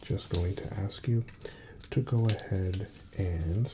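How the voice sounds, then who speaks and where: A soft-spoken man, at times whispering, gently playing a reassuring doctor in an unhurried ASMR medical exam roleplay. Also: low voice, noisy background.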